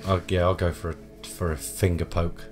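Deep-voiced man playing a tabletop game. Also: little pitch movement, normal speed, a little mellow, soft background music. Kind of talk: casual game chat.

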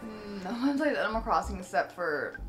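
A woman's voice: medium pitch, animated, fairly quick, roomy sound, over music. A young woman chatting casually alongside a let's play host, asking playful questions and laughing often. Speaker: co-commentator. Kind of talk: casual chat.

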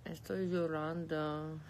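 Relaxed Russian woman chatting on a live stream. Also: fairly low pitch, a little hiss or hum. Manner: relaxed, lying back, reading comments aloud and answering. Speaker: livestream host answering viewer comments. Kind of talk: casual live chat, switching between languages. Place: bedroom, lying against pillows indoors. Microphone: phone held close.

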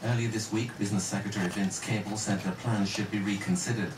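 A man's voice: lowish voice, fairly even intonation, fast. Echoey, distant British male newsreader. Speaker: radio newsreader. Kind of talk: scripted news bulletin. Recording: radio played in a room, distant, heavy noise.

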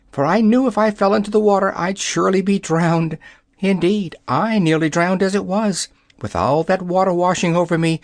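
A reader voicing a talkative female yellow hen in a storybook, with a small, sharp, distinct voice. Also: medium pitch, no echo, studio quality, pitched above the performer's own voice, lightly acted. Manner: quick, fussy, sharp and distinct. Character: chatty talking yellow hen, female, fussy.

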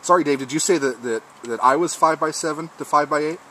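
A man's voice: fairly high pitch, fast. A man talking casually as an amateur radio operator, trading call signs and test reports. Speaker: amateur radio operator testing his rig. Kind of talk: casual ham radio exchange. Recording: clearly noisy outdoor radio-shack recording.

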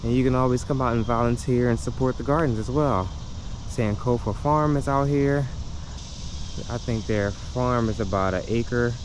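A man's voice: medium pitch, moderate pace. A man, chatting upbeat to the camera as he walks through a park. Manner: chatty and upbeat, addressing viewers as y'all. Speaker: vlogger. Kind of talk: casual vlog talk to camera. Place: outdoors in a park on a sunny day. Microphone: handheld action camera at arm's length while walking. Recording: outdoor noise, insects and traffic. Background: cicadas, insects and passing traffic.

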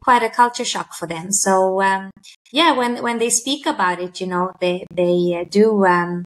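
A woman's voice: mid-range voice, a little slow, poor audio. A woman in her thirties from Sweden, a guest on a video call interview, speaking thoughtfully with many fillers. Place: indoor room by a window. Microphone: laptop or webcam mic on a video call.

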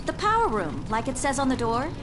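An actress playing a perky, teasing young ship worker in a video game, brash and incredulous. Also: female voice, sits high, huge pitch swings, fairly quick, music in the background.

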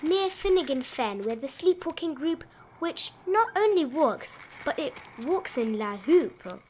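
A woman with a fairly high voice reads a children's picture book aloud over some noticeable background noise. She leans into the rhymes with an expressive, sing-song delivery, as a storybook reader. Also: a little slow.

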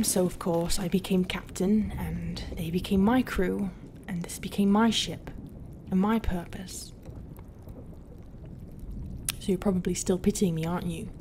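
A woman acts out a tentacled pirate captain in an audio roleplay, proud and brooding. Her medium-pitched voice is slow and guarded, often sinking into a hushed half-whisper, over faint background ambience. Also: slight background noise, lightly acted. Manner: hushed, often breathy half-whisper, sighing, hesitant pauses. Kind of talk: roleplay ASMR acting.

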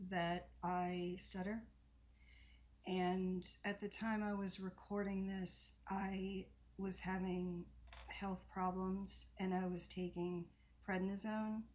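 Woman, 30s, software trainer, casual reaction commentary, mild stutter, unhurried delivery, home office. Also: mid-range voice, little pitch movement. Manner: mild stutter, occasional pauses and repeated words. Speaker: software trainer and video host.

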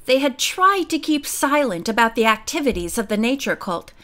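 Woman narrator, audiobook reading, steady, fairly high voice. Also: normal speed. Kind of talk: storybook reading.

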